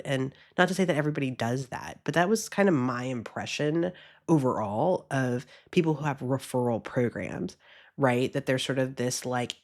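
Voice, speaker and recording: A woman in her thirties hosting a podcast in a casual solo talk, upbeat and chatty, a little sniffly from a cold. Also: rumbling low. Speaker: podcast host.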